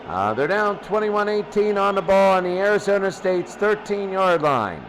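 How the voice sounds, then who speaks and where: High-pitched man calling football play-by-play. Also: big pitch swings, moderate pace, slight background noise, low-quality recording. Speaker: play-by-play commentator for a video game football stream. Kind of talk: sports play-by-play commentary.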